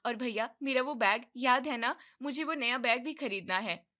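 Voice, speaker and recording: A woman's voice: a little fast, sharp tone. A woman doing an eager, wheedling young college girl. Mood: eager pleading. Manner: eager, pleading, higher-pitched.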